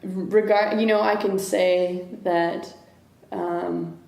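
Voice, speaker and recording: Woman, interview guest, reflective, hesitant restarts, low gravelly voice, echoey room. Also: fairly even intonation, drawn-out words, dark tone. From United States. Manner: thoughtful, searching for words, many restarts.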